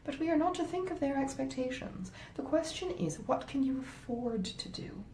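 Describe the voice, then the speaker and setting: A woman's voice: animated, normal speed, darkish tone. Lady reader doing a selfish wheedling wife in an old novel, emphatic, really up and down, roomy sound. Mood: coaxing. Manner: emphatic, indignant, coaxing. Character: selfish, wheedling wife scheming against her sisters-in-law. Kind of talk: acted dialogue in a classic novel reading. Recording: roomy echo, faint rumbling noise.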